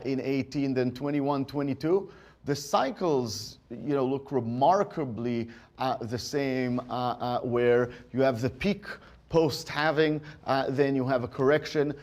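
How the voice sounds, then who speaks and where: Man speaking haltingly as an executive. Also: medium pitch, a little mellow. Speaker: company executive. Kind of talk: earnings call commentary on markets.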